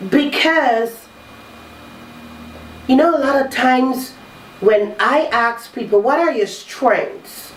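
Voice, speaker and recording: Stuffy-nosed lady host talking real slow, echoey room, mic kinda far off, bit of hiss. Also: high-pitched. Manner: slow, measured, coaching tone. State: congested from a cold. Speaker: talk show host. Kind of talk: reflective self-help talk. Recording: roomy echo, distant mic, faint noise.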